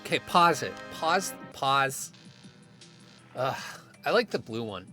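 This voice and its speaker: Blunt, animated young guy played by a man. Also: medium pitch, slow pace, heavily acted. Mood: irritated. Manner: casual, blunt, swearing. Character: grumbling but committed young man among haunted housemates. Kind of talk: naturalistic audio drama dialogue. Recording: music under the dialogue. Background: music.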